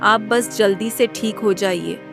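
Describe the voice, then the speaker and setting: A woman playing a kind, selfless young married village woman in a moral story, gentle and reassuring. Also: medium pitch, steady tone, measured pace, creaky, music in the background. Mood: caring. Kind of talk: dramatized Hindi moral story.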